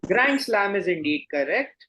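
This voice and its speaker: A man hosting a quiz, reading questions and announcing results in a courteous, formal, measured way. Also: high-pitched, sing-song, pushed and bright, slight background noise.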